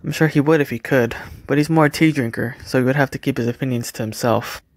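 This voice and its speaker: A man plays a polite, understated young man in an audio drama. He talks briskly with some fry in his voice, recorded close and dry. He comes across as reserved and caring. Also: medium pitch, no room sound, lightly acted. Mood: gently caring. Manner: courteous, understated.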